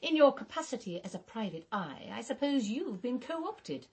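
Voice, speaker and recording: An actress playing a caustic, resentful English woman in a radio drama, with a husky voice and a blunt, sardonic delivery. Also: a little high, sing-song, moderate pace, exaggerated voice. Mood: caustic, probing. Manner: sardonic, blunt, sharp-tongued.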